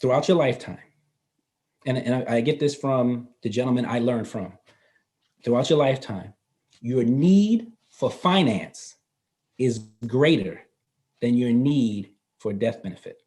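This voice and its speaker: A man in his fifties talks through financial ideas in an easygoing, explanatory way. His voice sits at an ordinary middle pitch, and he laughs readily, sometimes restarting his phrases. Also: animated, measured pace, dark tone. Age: 50s. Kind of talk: casual interview explanation. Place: North Carolina.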